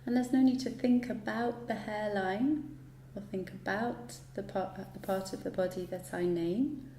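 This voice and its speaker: A woman guiding a relaxation meditation in a soothing, unhurried manner, leaving long pauses between instructions. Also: mid-range voice, fairly even intonation, warm tone. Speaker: yoga nidra guide. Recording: roomy echo, light background noise.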